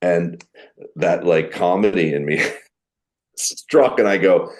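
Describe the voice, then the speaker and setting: A middle-aged man with a deep voice, a comedian telling animated stories in a podcast interview. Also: slow. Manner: animated storyteller who acts out quoted people. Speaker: guest comedian.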